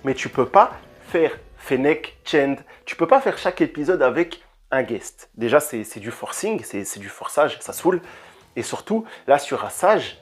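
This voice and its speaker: A man giving a casual, animated opinion rant as a video reviewer, hesitating and repeating words often, speaking into a handheld microphone. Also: medium pitch. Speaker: YouTube reviewer.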